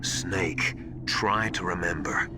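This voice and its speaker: A man's voice: low-pitched, takes it slowly, heavy background noise. A man playing an earnest, anxious confidant in a video game, passing on secrets in a hesitant way. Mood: worried. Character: earnest, anxious adult male confidant sharing secret intelligence. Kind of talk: dramatic video game voice acting. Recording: loud music behind the dialogue.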